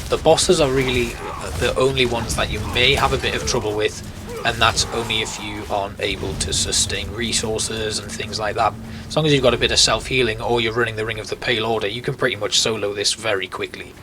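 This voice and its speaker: A man's voice: on the low side, steady tone, fairly quick, background noise. A man giving informal, explanatory commentary as the host of a video game walkthrough. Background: music.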